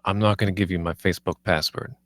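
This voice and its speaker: A man's voice: low voice, steady tone, fast, fairly bright tone, dry recording, studio quality. A man playing a cocky, crude defendant in a comedy script table read, brash and slangy. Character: cocky, crude young man awaiting murder trial.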